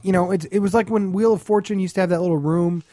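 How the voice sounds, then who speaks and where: A man's voice: sits high, fairly even intonation, fast, dark tone, no echo, studio quality. A man co-hosting a comedic radio talk show, ranting with profane sarcasm and mocking imitations.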